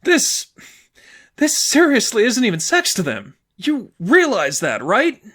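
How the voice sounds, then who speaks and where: A man's voice: very high voice, huge pitch swings, slow, bright, forceful tone, voice pushed up, full character voice. A man voicing an upset teenage girlfriend, wounded and bitter, with emphatic, sarcastic delivery in a fan fiction audiobook. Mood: tearful, hurt.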